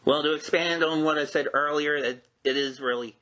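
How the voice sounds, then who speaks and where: A man's voice: on the high side, steady tone, moderate pace, bright, forceful tone. A man hosting a casual podcast interview, speaking politely with tentative, self-correcting phrasing.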